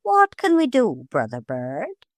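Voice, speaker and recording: A gracious elder sea gull, master of the sea birds, breezy and offhand in a storybook, voiced by a woman. The voice rides high above a plain reading voice and skips around freely. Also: wildly swooping pitch, slow, dry recording, studio quality.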